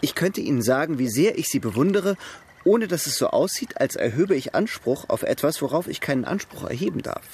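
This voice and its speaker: An actor playing a charming, eloquent young gentleman in a radio drama, polite and teasing. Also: on the high side, lively intonation, brisk, slightly rough, exaggerated voice. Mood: earnest. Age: young adult. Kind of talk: radio drama dialogue, period literary adaptation.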